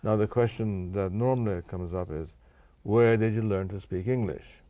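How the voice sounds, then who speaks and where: Older man, interview guest, low voice, mellow tone, measured and careful, dry close sound. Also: dry recording. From Japan. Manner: measured, careful, many restarts and fillers. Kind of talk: interview answers.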